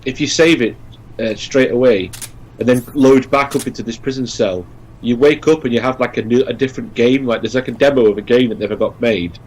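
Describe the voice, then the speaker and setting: A man's voice: mid-range voice, a little fast, a little mellow, slight background noise, rough recording. A man giving casual co-commentary on a video game, talking as he would with friends. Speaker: let's play co-commentator. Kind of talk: casual gaming commentary chat.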